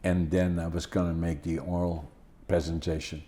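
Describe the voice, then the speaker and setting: An older man in his seventies from the Netherlands, speaking English with a Dutch accent and Dutch as his first language, hosting a podcast in a halting style full of fillers and restarts. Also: low-pitched, little pitch movement, warm tone. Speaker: podcast host. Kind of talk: casual conversation.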